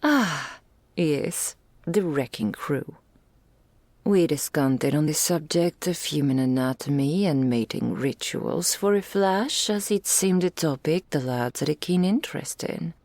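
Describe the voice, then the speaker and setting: A woman's voice: low voice, expressive, measured pace, darkish tone, dry recording, exaggerated voice. A woman voicing a doting, regal female dragon in human form, speaking intimately to the listener in ornate, archaic phrases as an ASMR roleplay. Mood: proud, amused.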